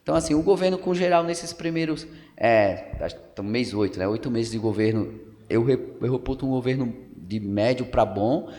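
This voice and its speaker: A man's voice: mid-range voice, expressive, a little fast, warm tone. A man in his thirties from Pernambuco, a podcast guest and local journalist giving casual political commentary, explaining at length with frequent tag questions and fillers. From Pernambuco, Brazil. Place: council building room. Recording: long hall reverb.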